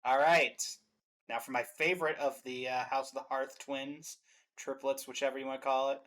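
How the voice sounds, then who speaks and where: A man's voice: medium pitch, level tone, moderate pace, fairly bright tone. A man co-hosting a reaction video, chatting casually as he ranks game characters. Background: faint music.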